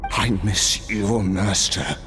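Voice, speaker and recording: A brooding immortal warrior from a video game, with a very deep voice. He speaks slowly and wryly, weary of the world, over background music. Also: a bit bright. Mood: regretful, wistful. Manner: slow, wry, brooding.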